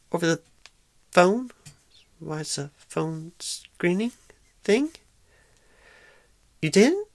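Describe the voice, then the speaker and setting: A woman's voice: on the low side, dry recording, heavily acted. A woman playing a shy, nervous, inexperienced job recruiter in an ASMR roleplay, stammering and hesitating awkwardly. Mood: nervous, apologetic, then flustered, awkward.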